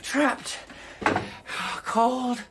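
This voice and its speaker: An actor playing a frightened, zealous street preacher in a radio drama, fretful and rambling, with biblical phrasing and repeated words. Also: male voice, very high voice, flat delivery, extremely slow, full character voice. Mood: fretful muttering. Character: frightened, zealous street preacher clinging to faith amid apocalypse. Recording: loud ambient noise behind the voice.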